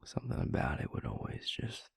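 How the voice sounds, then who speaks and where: A man playing a weary, grieving vampire lover, tender and gruff, in an intimate audio roleplay with a husky, gravelly murmur. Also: no room sound, studio quality, lightly acted. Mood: weary, brooding. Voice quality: husky, breathy, gravelly low voice. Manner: slow, halting, murmured, with sighs.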